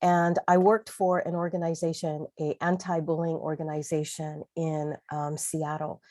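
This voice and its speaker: A woman's voice: deep voice, little pitch movement, moderate pace, dark tone, no echo. A woman from Hawaii with a Pidgin accent, speaking earnestly as a panelist in a community discussion. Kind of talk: panel discussion over video call.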